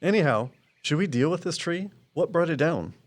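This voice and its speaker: An actor playing a guarded, loyal tree expert in an audio drama, speaking in a plain, matter-of-fact way. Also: male voice, a little high, animated, normal speed. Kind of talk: naturalistic audio drama dialogue.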